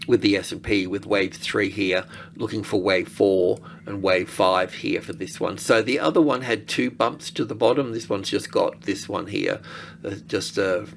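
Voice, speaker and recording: A man giving casual, unscripted screen-share commentary as an analyst, halting and thinking aloud as he goes. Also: on the low side, gravelly, a little hiss or hum. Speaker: market analyst presenting.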